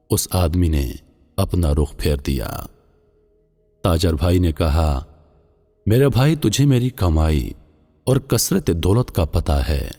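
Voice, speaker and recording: A man narrating a scripted religious moral tale as a storyteller, in a measured, solemn manner. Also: low-pitched, mellow, husky. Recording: long hall-like reverb.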